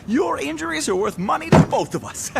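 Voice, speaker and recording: A man's voice: extremely high pitch, sing-song, brisk, creaky, very noisy, full character voice. An actor playing a slick, shameless injury-claim hustler in a video game, with smarmy salesman patter. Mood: smug, scheming.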